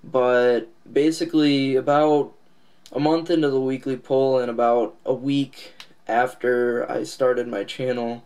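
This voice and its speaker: A congested man with a nasal voice, getting over a cold, giving a casual, halting update to his viewers. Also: medium pitch, a little mellow. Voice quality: nasal, stuffed-up. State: congested, recovering from a cold and lost voice. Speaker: comics channel host.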